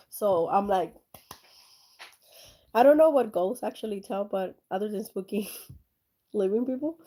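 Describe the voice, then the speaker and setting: Mellow-voiced woman chatting while painting. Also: mid-range voice. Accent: slight German accent. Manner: rambling, many fillers and restarts, chuckles. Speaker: art channel host. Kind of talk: casual rambling chat while painting.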